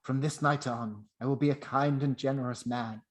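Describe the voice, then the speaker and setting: A man's voice: mid-range voice, steady tone, moderate pace, close to an everyday voice. Man playing a pleading, repentant Scrooge. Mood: desperate pleading. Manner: earnest, pleading, dramatic. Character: frightened, repentant old miser pleading with a spirit. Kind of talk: amateur radio play acting.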